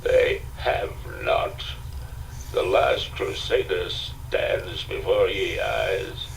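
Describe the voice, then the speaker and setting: Man voicing an ancient, solemn knight, very slow and deep. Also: vocal fry, full character voice. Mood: stern, proclaiming. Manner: slow, solemn, archaic speech. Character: ancient crusader knight, solemn, archaic Grail guardian. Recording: distant, noisy cassette audiobook recording.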